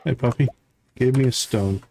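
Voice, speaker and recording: A man's voice: mid-range voice, moderate pace, creaky, dark tone. A man with a mid-range voice gives live commentary on a game. He chats casually with viewers and explains things in an easygoing way. Faint clicks and background noise sit behind his voice.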